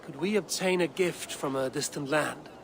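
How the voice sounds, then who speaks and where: A man's voice: on the high side, moderate pace, gravelly, background noise. A man playing a shrewd, blunt Viking warrior and loyal kinsman in a video game cutscene. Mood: businesslike. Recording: music under the dialogue.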